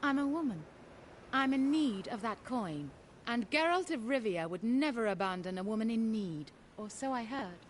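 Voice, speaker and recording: Woman playing a sly, high-voiced noble schemer. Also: animated, a little hiss or hum, close to an everyday voice. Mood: persuasive. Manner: genteel, measured, persuasive. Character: poised, cunning noblewoman schemer, coaxing and sly. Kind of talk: video game dialogue acting.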